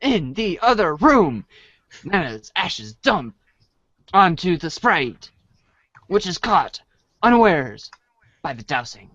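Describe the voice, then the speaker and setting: Male narrator, theatrical storybook read: unhurried, very varied intonation, dry lo-fi sound. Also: sits high, very animated, a bit bright, no echo. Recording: dry, dull lo-fi audio.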